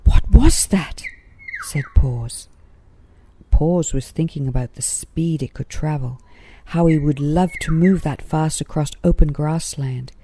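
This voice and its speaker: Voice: medium pitch, measured pace, dark tone, a little hiss or hum. A narrator reading a children's story aloud from a script.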